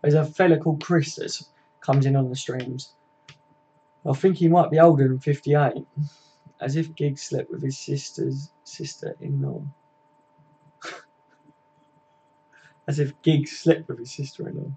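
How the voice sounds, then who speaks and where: A man's voice: medium pitch, lively intonation, a little slow, pauses often, warm tone, a little hiss or hum, low-quality recording. A man, hosting a casual live stream, chatting matily with viewers and laughing easily. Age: 20s.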